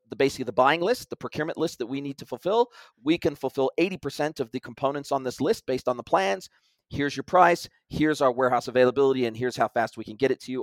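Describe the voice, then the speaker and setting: A man in a casual interview who explains technical ideas at length, often restarting his sentences as he thinks aloud. His voice sits at an ordinary middle pitch. The sound is dry and close, with no room around it. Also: no echo. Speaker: guest expert. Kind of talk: conversational interview.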